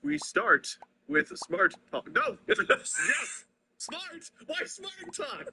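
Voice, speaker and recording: A man's voice: very high-pitched, wildly swooping pitch, extremely slow, vocal fry, crowd noise. A man hosting a casual podcast chat, joking and ironic, who sometimes trips over his words. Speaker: podcast host.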